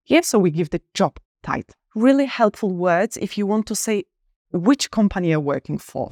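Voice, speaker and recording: A woman teaching an online English lesson, clear, upbeat and encouraging, slowing down to stress example phrases. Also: on the low side, darkish tone, no room sound, studio quality.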